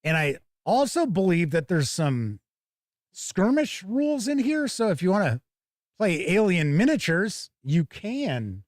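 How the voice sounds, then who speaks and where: A man's voice: sits high, sing-song, unhurried, no room sound, studio quality. A man hosting a tabletop game review stream, chatting casually and thinking aloud as he comments on an unboxing.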